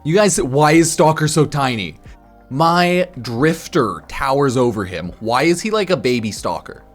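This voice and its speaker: A man's voice: medium pitch, expressive, unhurried, soft background music. A slightly tipsy man giving casual livestream commentary in a blunt, slangy, sweary way, often repeating himself and checking in with the listener. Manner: repeats himself for emphasis, tags lines with "right?". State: a bit drunk. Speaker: game streamer.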